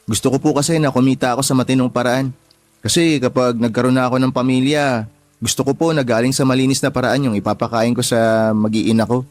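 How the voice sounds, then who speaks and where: An actor playing a polite, eager nineteen-year-old vendor trainee in a Filipino radio drama, deferential and earnest, with a Filipino accent. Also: male voice, medium pitch, steady tone, fast talker, lightly acted. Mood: admiring, sincere. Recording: music playing behind the voice.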